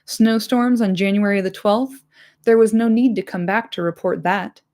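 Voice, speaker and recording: A woman's voice: medium pitch, fairly even intonation, moderate pace, darkish tone, natural voice. A woman voicing a dry, chiding elderly male halfling in a storybook reading.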